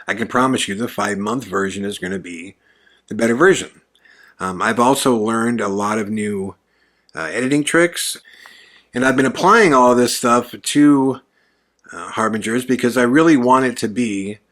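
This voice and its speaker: A man hosting his own podcast, talking earnestly in a casual monologue, often pausing on fillers. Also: on the low side, fairly bright tone. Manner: earnest, hesitant with many fillers. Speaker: podcast host.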